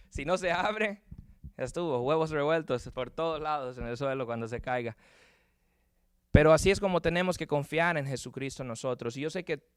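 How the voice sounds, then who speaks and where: A man's voice: fairly high pitch, clean tone, dry recording. A man with a Puerto Rican Spanish accent preaching a sermon earnestly, often repeating words as he searches for them. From Puerto Rico. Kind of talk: sermon with closing prayer.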